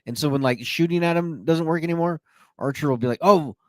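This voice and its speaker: A man's voice: on the high side, fairly quick, no echo. A man hosting a podcast, chatting casually and joking, with frequent fillers. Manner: playful, jokey, many fillers. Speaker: podcast host. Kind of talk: casual chat.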